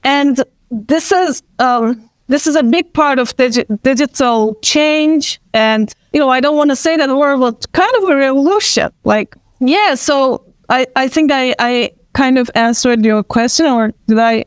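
A woman in her thirties, a native Turkish speaker with a Turkish accent, talks through ideas at a panel. Her voice is fairly high and clean-toned, recorded dry and close. She rambles casually, stacking fillers and laughing now and then. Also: a little slow, clean tone, dry recording. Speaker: panelist, event organizer.

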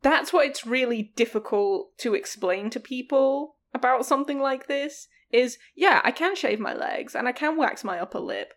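A woman's voice: high-pitched, expressive, normal speed, few pauses. A woman co-hosting a podcast, talking candidly and laughing often. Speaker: podcast co-host. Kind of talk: casual chat.

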